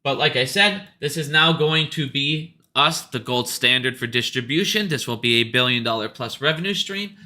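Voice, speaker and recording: A man's voice: mid-range voice, moderate pace, hardly any pauses, bright, forceful tone. A man giving a casual, opinionated business monologue like an investor commentator, blunt, emphatic and profane, repeating his points to drive them home.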